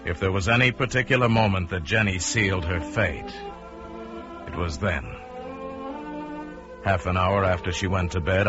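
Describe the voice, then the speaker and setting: Low-voiced brooding radio-thriller husband. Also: male voice. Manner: measured, deliberate, dramatic pauses. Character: married man coolly plotting to kill his wife. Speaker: narrator and lead character. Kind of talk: mid-century radio crime drama acting and narration. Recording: dull old radio recording, music underneath.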